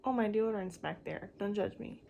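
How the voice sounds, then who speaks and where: Young female vlogger, impromptu and loose delivery, mid pitch, faint rasp, meandering sentences full of "like". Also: brisk, highs cut off, heavy background noise. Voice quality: slightly raspy. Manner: rambling, filler-heavy with frequent "like". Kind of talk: casual impromptu chat to camera. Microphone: phone camera.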